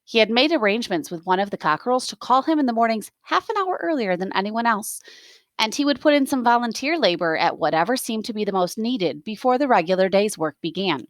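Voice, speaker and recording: A woman reading a novel aloud as a teacher, expressive, with plain explanatory asides for students. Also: fairly high pitch, brisk, no echo, clean studio sound.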